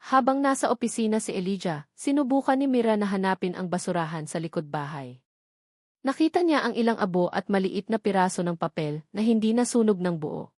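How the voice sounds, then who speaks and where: Woman narrating a dramatic audio story, middle pitch, really dry close recording. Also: darkish tone, no echo.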